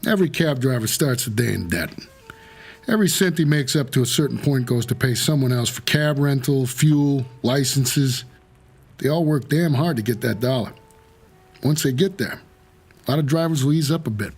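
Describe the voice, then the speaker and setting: An actor playing a world-weary, streetwise New York cab driver, narrating a noir audio drama in a wry, hard-boiled way with a gravelly, husky voice. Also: male voice, mid-range voice, normal speed, darkish tone, soft background music. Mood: weary, resigned.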